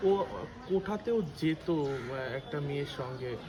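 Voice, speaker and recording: A young man acting an awkward, gossiping friend in an amateur short film, speaking haltingly and evasively. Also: fairly high pitch, animated. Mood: hesitant, awkward. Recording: noisy amateur recording with ambient background noise.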